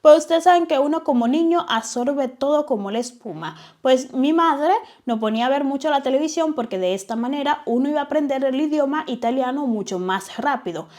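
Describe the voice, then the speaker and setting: A woman's voice: high-pitched, expressive, a little fast, few pauses, darkish tone. A young Dominican woman with a Dominican accent, hosting a channel and narrating a documentary in a chatty, digressive way, speaking straight to her viewers. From Dominican Republic. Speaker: YouTube channel host.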